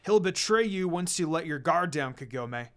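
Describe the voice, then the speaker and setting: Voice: medium pitch, lively intonation, normal speed, a bit bright, smooth, soft background music. A reader voicing a brash, possessive and jealous young male wolf demon in a fan fiction story. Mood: argumentative.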